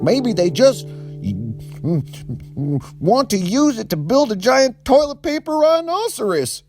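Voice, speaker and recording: A man voicing a goofy, excitable young male sidekick in a children's story, talking in a squeaky, loud, eager way. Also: slow, soft background music, exaggerated voice. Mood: excited.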